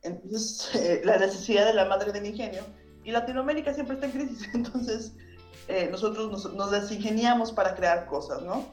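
A Mexican woman editor, a guest in an interview, relaxed and self-deprecating, with a Mexican Spanish accent. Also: medium pitch, normal speed, echoey room, soft background music. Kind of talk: casual interview.

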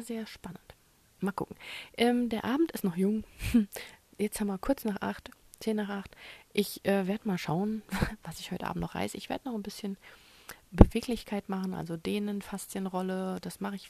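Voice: medium pitch, creaky, a little mellow. A novelist from Germany talking through a rambling solo monologue, musing aloud and often dropping into a whisper.

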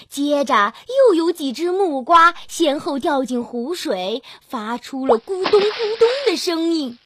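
A high-pitched woman narrates a fairy tale for children with very animated intonation. She takes her time, telling it expressively, with music playing softly behind her. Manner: expressive, drawn-out storytelling with gentle sentence particles. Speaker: children's storyteller.

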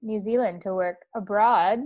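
A woman's voice: medium pitch, fairly even intonation, normal speed, highs cut off. A woman hosting a casual podcast, asking her guest questions and laughing along. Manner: friendly interviewer, asks and laughs along. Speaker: podcast host. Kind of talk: casual chat.

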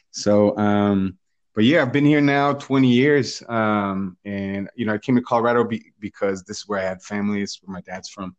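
Guest, male, late 30s, low voice, loose chatty delivery, dry muffled sound. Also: moderate pace, dry recording. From Guatemala. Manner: relaxed, rambling, many fillers and restarts. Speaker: guest, hot sauce business owner. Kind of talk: casual interview talk.

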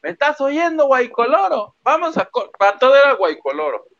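A man's voice: very high-pitched, big pitch swings, fairly quick, a bit bright, no room sound. A man with a Mexican accent co-hosting a gossip live stream in a jokey, playful, casual way, on slightly lo-fi audio. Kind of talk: casual joking chat.

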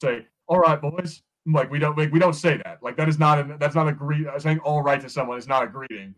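American man, quick delivery, casual podcast chat, rambling phrasing, review discussion. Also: fairly high pitch, fairly bright tone, poor audio. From United States. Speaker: podcast co-host.

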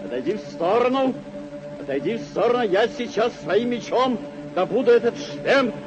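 An actor in an old radio drama playing a pompous, idealistic and hot-tempered deluded knight-errant, declaiming grandly. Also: male voice, high-pitched, expressive, normal speed, highs cut off, music in the background. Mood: commanding, threatening.

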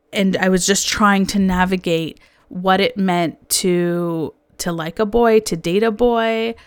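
Slow, smooth-voiced woman. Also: medium pitch, no room sound. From Canada. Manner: soft-spoken, earnest, candid, confessional. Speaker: podcast host. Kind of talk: personal reflective monologue.

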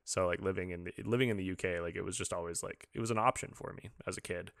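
A man's voice: sits low, fairly even intonation, fast talker, gravelly, a bit bright, no echo, clean studio sound. A young man from Liverpool with an American accent, hosting a podcast in an enthusiastic, casual way. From Liverpool, England. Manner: enthusiastic, casual, says dude a lot. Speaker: podcast host. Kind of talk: casual interview chat.

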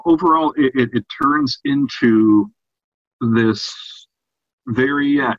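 A man co-hosting a casual podcast discussion about fiction, talking in a halting way with repeated and restarted phrases. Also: on the low side. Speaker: podcast co-host. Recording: dull, roomy lo-fi sound.

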